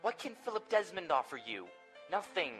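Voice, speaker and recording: A man's voice: sits high, big pitch swings, moderate pace, fairly bright tone. Man acting an intense, possessive suitor over music. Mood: insistent, possessive. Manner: pleading, dramatic, goading. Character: possessive, lovesick male suitor, intense, insistent. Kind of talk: gothic audio drama acting.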